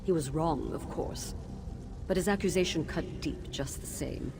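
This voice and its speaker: An actress playing the mournful, solemn ghost of a wronged wife and former teacher in a fantasy video game. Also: female voice, low voice, measured pace, music in the background. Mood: solemn, sorrowful.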